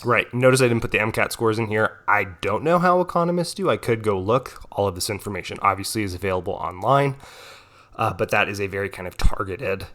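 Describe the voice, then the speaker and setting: Young guy with a cold, kinda nasal, lowish voice, chill explainer vibe, lots of "uh" and "right?". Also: expressive, fairly quick, fairly bright tone. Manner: casual, adds frequent "right?" and "uh". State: has a cold, may sound nasal. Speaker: economics tutor. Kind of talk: informal explainer talk.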